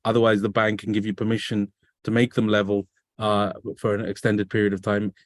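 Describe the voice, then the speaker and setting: A man's voice: lowish voice, monotone, brisk, dry recording, clean studio sound. A man hosting a business webinar over a video call, asking guests questions with curious follow-ups. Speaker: webinar host. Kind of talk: conversational webinar interview.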